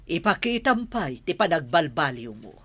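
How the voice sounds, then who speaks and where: A woman's voice: low-pitched, sing-song, moderate pace, fairly bright tone, a little hiss or hum, full character voice. A woman playing a stern, exasperated mother of a grown son, scolding emphatically in an Ilocano radio drama.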